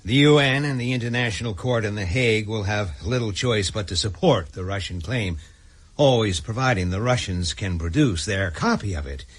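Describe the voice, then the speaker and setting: A man's voice: on the low side, normal speed, lightly acted. An actor playing a formal, deferential senior statesman, explaining matters carefully in a radio drama. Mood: grave, factual. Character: deferential, measured senior American statesman briefing his leader.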